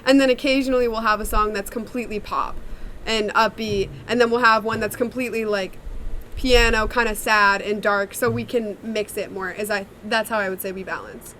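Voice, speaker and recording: A woman's voice: mid-range voice, fairly even intonation, a little fast, a bit bright, slight background noise. A young woman, a singer-songwriter, answering interview questions in a chatty, reflective way with many fillers. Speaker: songwriter and singer in a sister duo.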